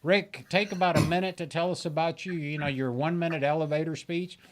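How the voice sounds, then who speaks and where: A man's voice: a little high, fairly even intonation, brisk. A man hosting a live panel show, chatty and joking with his guests, with frequent fillers. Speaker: show host.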